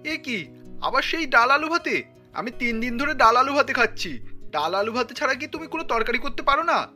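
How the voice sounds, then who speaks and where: A woman's voice: high voice, big pitch swings, fairly quick, sharp tone, faint music behind, exaggerated voice. A woman voicing an irritable, dismissive office-going husband in a cartoon, curt and scolding. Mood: irritated. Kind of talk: cartoon family drama voice acting.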